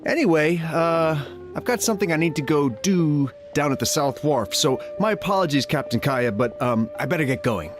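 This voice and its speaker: A man's voice: on the high side, expressive, moderate pace, few pauses, music in the background, close to an everyday voice. An actor playing a polite but nervous ship captain in a video game, hesitant and stammering as he talks. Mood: awkward, evasive. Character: evasive, nervous, polite sailor, married merchant-ship captain.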